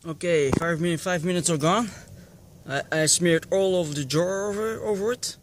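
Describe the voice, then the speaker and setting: A man from Belgium with a Belgian accent, speaking English as a second language, casually demonstrating a cleaning job on camera and talking haltingly with many fillers. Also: high voice, background noise. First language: not English. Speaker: DIY video maker. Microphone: phone camera.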